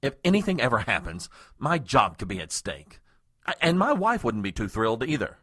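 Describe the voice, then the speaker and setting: A man playing a flustered aide, swooping pitch, husky. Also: big pitch swings, brisk, sharp tone, dry recording, studio quality, full character voice. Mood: anxious, secretive. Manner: nervous stammering, stiffly formal. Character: flustered, self-important married male political aide fending off advances. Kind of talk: comedic audio drama acting.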